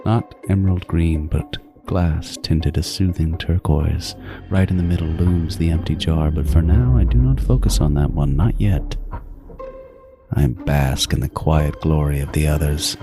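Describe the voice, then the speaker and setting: A man's voice: a bass voice, measured pace, over music. An actor playing a meticulous, self-styled artist serial killer in a horror audio drama, savoring his words theatrically with swooping emphasis. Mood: gloating, reverent. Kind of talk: first-person horror audio drama monologue.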